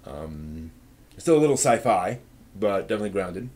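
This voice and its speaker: A man hosting a casual live stream, replying to viewer comments with thinking pauses and firm, emphatic opinions. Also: sits low, very animated, a little mellow.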